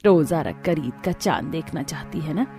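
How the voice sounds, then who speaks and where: A woman's voice: low voice, animated, moderate pace, mellow, faint music behind, voice pushed down. A woman voicing a harsh, indignant middle-aged mother-in-law in a cartoon story. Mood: sly, menacing.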